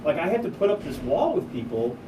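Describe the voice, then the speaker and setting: An animated, friendly man with a mid-pitched voice hosts a comedy radio show and fills his talk with "you know"s. He sits back from the boom mic, so the studio sounds echoey. Steady hiss sits underneath. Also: quick pace, far from the mic. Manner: animated, friendly, many fillers. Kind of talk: casual comedic chat. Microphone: studio boom mic with headphones.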